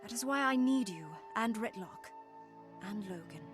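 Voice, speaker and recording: A woman playing a grave, composed leader of an ancient plant folk in a video game cutscene, earnest and measured. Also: a little high, lively intonation, natural voice. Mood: solemn, earnest. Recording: loud music behind.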